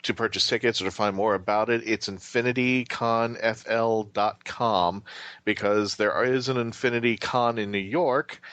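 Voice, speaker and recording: A man's voice: lowish voice, fairly even intonation, a little slow, a bit bright, no echo. A man co-hosting a podcast, announcing upcoming events in a casual way. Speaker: podcast co-host.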